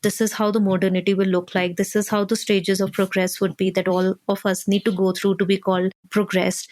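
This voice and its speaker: A woman's voice: mid-range voice, darkish tone, no room sound. Thoughtful woman activist, dry close sound. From India. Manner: thoughtful, measured, builds points in lists. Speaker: researcher and activist guest. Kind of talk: reflective interview answers.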